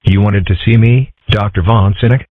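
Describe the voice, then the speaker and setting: Guy as a jumpy cartoon school principal, blunt, low husky voice, phone-like sound. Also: fairly even intonation, moderate pace, dry recording, natural voice. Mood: wary. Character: anxious, overcautious adult school principal. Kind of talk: comic strip dub. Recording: muffled, phone-like narrow sound.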